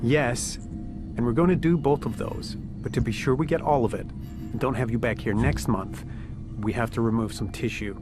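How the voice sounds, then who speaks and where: A man's voice: mid-range voice, normal speed, over music, lightly acted. A man playing a breezy, matter-of-fact ship's doctor in a science fiction drama. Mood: casual, reassuring.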